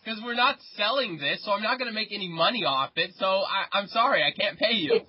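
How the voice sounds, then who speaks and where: Husky 19-year-old man, muffled. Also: sits high, expressive, brisk. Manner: hesitant, stammering restarts. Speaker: podcast host. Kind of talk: casual chat among friends. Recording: muffled lo-fi sound.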